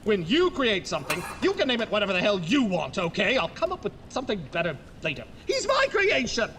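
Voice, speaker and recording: A man's voice: sits high, wildly swooping pitch, fairly quick, noisy background, exaggerated voice. A man playing a young, eccentric mad doctor in a comedic horror audio drama, imperious and easily exasperated, stammering and bursting out theatrically. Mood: furious.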